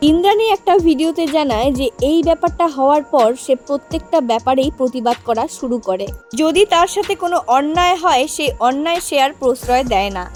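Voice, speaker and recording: A woman narrates a scripted video as its host. Her voice sits very high and moves with animated intonation as she addresses viewers directly. Music plays faintly behind her. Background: background music.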